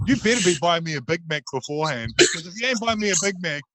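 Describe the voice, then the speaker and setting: A man's voice: fairly high pitch, animated, quick pace, sharp tone, no echo. Crude, joking podcast host man. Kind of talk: casual podcast chat.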